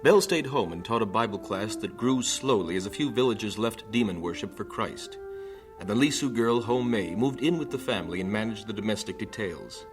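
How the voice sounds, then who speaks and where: A man's voice: on the low side, lively intonation, a little fast. American male radio narrator over music. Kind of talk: old radio scripted narration.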